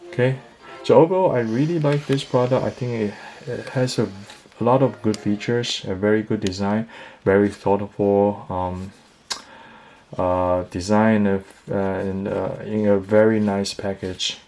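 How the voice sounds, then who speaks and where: Casual male product reviewer, low register, hesitant step-by-step explainer, light music bed. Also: steady tone, unhurried, a little mellow.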